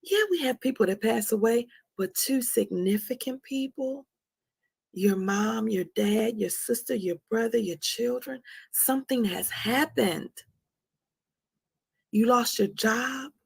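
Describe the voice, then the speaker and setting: A woman's voice: a little high, takes it slowly, vocal fry, slightly rough. A woman in her forties giving a candid motivational testimony as a host, emphatically repeating phrases for effect. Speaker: host of a live talk on anxiety.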